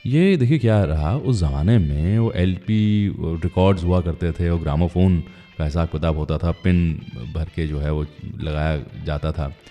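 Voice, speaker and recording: Deep, bright-voiced male radio host. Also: unhurried, big-room sound, faint music behind. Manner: chatty storytelling, addresses listeners directly. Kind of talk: conversational radio presenting.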